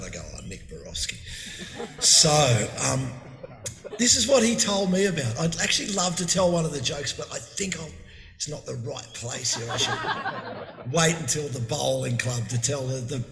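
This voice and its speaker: Middle-aged man, eulogy speaker, casual halting delivery, big reverberant hall. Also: medium pitch. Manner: conversational, halting with fillers and restarts. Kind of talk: funeral eulogy. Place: memorial hall. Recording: echoey hall with long reverb.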